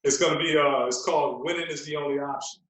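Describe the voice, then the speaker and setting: A man's voice: fairly high pitch, steady tone, fast talker, a crowd in the background. A man chatting casually as a podcast guest, emphatic and repeating his points, in a very echoey room. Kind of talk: casual chat. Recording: very echoey, roomy sound.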